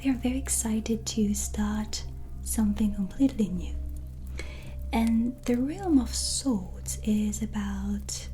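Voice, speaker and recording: A non-native English-speaking woman gives a gentle, soothing tarot reading as a kindly witch, in a breathy, soft-spoken ASMR whisper. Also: medium pitch, takes it slowly. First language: not English. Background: soft relaxing music.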